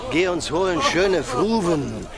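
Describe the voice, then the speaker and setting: An actor playing a lewd, coarse medieval German soldier in a radio drama, using rough pidgin speech. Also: male voice, fairly high pitch, animated, slow, exaggerated voice. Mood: lewd, leering. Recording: busy outdoor crowd scene.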